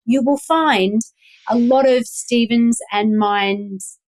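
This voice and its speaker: A woman's voice: fairly high pitch, slow, a little mellow, poor audio. A woman with a Melbourne accent, speaking as a guest in a casual interview, rambling with many ums and restarts. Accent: Australian (Melbourne). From Australia. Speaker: guest, activist.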